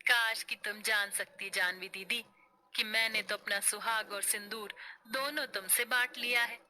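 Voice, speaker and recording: A woman's voice: mid-range voice, fairly even intonation, measured pace, bright, forceful tone, a little hiss or hum. A woman voicing a humble, self-sacrificing young village wife who speaks respectfully in rustic dialect, in dramatic cartoon style. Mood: sorrowful.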